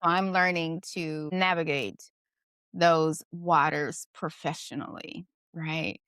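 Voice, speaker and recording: A woman's voice: sits low, steady tone, takes it slowly, fairly bright tone, clear, resonant tone, no room sound, clean studio sound. A middle-aged woman hosting a casual conversation, thoughtful and questioning, often adding "you know" and "right". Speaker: podcast host.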